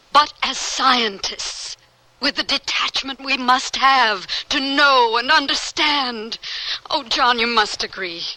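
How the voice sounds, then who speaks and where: A visionary woman scientist who knows she is dying and refuses to despair. Her voice is bright and fairly high, delivered with the intense, declamatory fervor of old-time radio drama. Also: poor audio.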